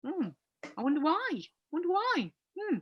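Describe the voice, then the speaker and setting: A woman's voice: very high-pitched, big pitch swings, normal speed, highs cut off. A middle-aged woman with a North East English accent that drifts toward Yorkshire, chatting as a podcast co-host, teasing and incredulous, laughing often. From North East England. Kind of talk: casual chat.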